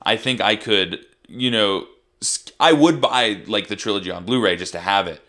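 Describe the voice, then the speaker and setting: A young man co-hosting a movie review chat, rambling with restarts and fillers, with a tired, gravelly voice. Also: lowish voice, moderate pace, a bit bright. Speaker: podcast co-host.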